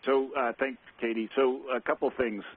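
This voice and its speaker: A man with a cold, sounding croaky, answering analysts on an earnings call with many fillers and restarts. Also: medium pitch, flat delivery, normal speed. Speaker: company executive answering analysts. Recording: tinny phone line.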